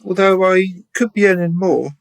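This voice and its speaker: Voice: mid-range voice, normal speed, vocal fry, lightly acted. An actor playing a naive, trusting footballer in a radio play, hesitant and a little dim. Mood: naive, pleased. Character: naive, loyal, well-paid young footballer. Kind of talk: radio drama acting.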